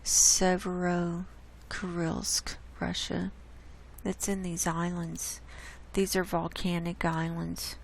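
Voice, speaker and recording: A hoarse woman hosting her own channel, walking casually through earthquake maps on screen and pointing out each spot with an unhurried, gravelly delivery. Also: on the low side, monotone, slight background noise. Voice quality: gravelly, coughs and clears throat. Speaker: online science channel host. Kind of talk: casual screen-share data report. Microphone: desk mic.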